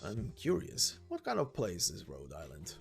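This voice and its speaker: Man doing a low-voiced, courteous big man. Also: huge pitch swings, moderate pace, creaky, soft background music, exaggerated voice. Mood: gentle, courteous. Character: big, courteous, reserved man, a prison escapee. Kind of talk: game story reading.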